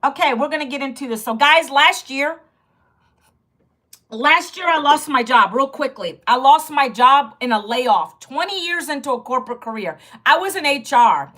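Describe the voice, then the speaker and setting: A woman's voice: sits high, expressive, normal speed, bright, forceful tone. A woman in her fifties from Virginia, hosting a casual livestream and chatting directly with her viewers about losing her job. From Virginia, United States. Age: 50s. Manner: chatty and direct, greets and addresses viewers often. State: sore back, fearing loss of voice. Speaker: livestream host.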